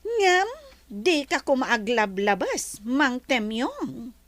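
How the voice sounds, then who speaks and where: A woman's voice: high-pitched, sing-song, very slow, dry recording, heavily acted. A woman voicing a lonely, gracious rich young heiress in an audio comedy drama, talking politely to her friend and her driver. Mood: teasing. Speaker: wealthy heiress. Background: background music.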